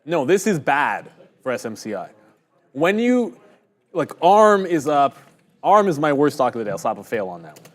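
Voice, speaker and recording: A man's voice: fairly high pitch, sing-song, moderate pace. A man on a live trading stream, walking through his chart calls in a loose, rambling way. He pokes fun at his own mistakes as he goes. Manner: rambling, conversational, self-deprecating. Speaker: day-trading stream host. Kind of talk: live market commentary.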